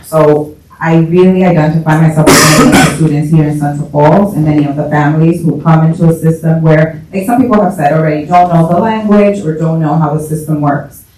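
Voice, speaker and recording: A man's voice: a little high, fairly even intonation, fairly quick, noisy background. A man from New York, a school administrator, giving a formal, personal answer at a public meeting. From New York, United States. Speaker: school administrator, board candidate. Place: indoor council meeting room. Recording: very echoey room, distant mic.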